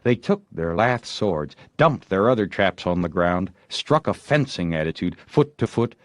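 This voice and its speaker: Animated male audiobook narrator, dry sound. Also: low-pitched, a little slow, no room sound. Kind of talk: expressive scripted story narration.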